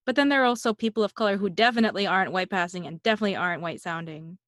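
A woman's voice: mid-range voice, very fast, a bit bright, dry recording, studio quality. A woman podcast host with a slight Filipino accent, chatty and prone to tangents in a casual interview. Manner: chatty, goes on tangents, laughs often.

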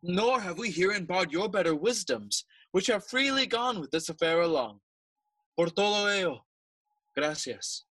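A stately king giving formal shakespeare speech, high bright forceful voice, dry studio sound. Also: animated, normal speed, no echo, low-quality recording. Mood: proud, formal. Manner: formal, declamatory oratory. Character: Claudius, stately, smooth-talking middle-aged usurper king. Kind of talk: bilingual Spanish-English Shakespeare audio play acting.

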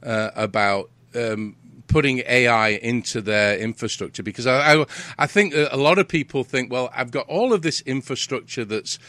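A man's voice: medium pitch, moderate pace, pushed and bright, dry recording. A British man hosting a casual interview, rambling, repeating words and restarting sentences. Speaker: host.

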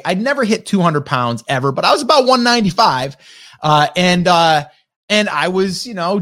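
A man's voice: high-pitched, a bit bright. A man in his forties giving a casual motivational talk as a marketing coach, often checking in with his listeners with "okay?" and "right?". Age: 40s.

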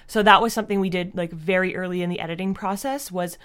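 A woman answering interview questions as a guest in a casual, thoughtful and halting way, often saying "like". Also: fairly low pitch, fairly even intonation, a bit bright, clear, resonant tone. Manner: thoughtful, halting, frequent "like" as a verbal habit. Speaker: podcast creator and writer, interview guest.

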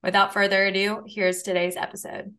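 Woman from New Orleans, fast and bright scripted intro read, upbeat. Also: medium pitch, flat delivery, clear, resonant tone. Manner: upbeat, polished delivery. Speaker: podcast host.

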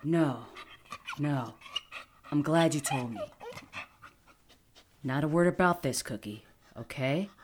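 A woman's voice: deep voice, takes it slowly, long pauses, slight background noise. A woman playing a kindly, frank veterinarian with a casual manner, in a naturalistic audio drama. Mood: serious, frank.

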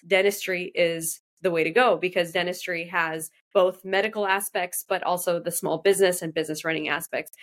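A woman's voice: on the low side, fairly bright tone. A woman guest from Iraq, a dentist, talking casually in an interview and often restarting her sentences. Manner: fast, restarting, self-correcting speech.

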